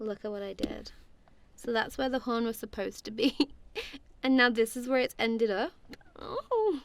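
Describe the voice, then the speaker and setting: A woman's voice: on the high side, expressive, a little slow, slight background noise. A woman playing a goofy, affectionate friend in an ASMR roleplay, chatting casually in a playful, giggly, rambling way.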